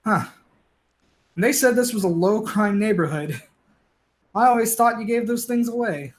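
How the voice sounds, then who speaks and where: A man's voice: high-pitched, lively intonation, moderate pace. A man playing a down-on-his-luck, bickering but conciliatory neighbor in a stage play, talking in a wry, colloquial way. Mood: wry, conversational.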